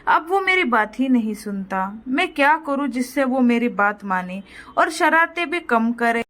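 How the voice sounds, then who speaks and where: An elderly village grandfather acted out by a woman in a cartoon moral tale, pitched high. He worries, laments and pleads, saying things over again. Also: expressive, unhurried, a bit bright. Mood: troubled. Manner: lamenting, pleading, repeats himself. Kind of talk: moral story cartoon dialogue.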